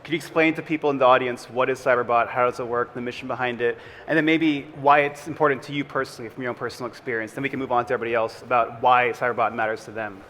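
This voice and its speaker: A man's voice: mid-range voice, fairly even intonation, very fast, fairly bright tone, slight background noise. A man moderating a conference panel, introducing the speakers with upbeat emphasis. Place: conference hall. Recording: long hall reverb, faint ambience.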